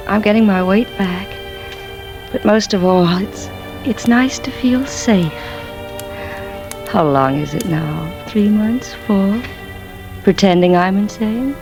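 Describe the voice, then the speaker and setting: An actress playing an embittered, intense wife who feigns madness, confessing haltingly in 1940s radio suspense style. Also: female voice, medium pitch. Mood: coldly menacing. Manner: dramatic, halting confessional delivery. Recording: vintage radio recording with music.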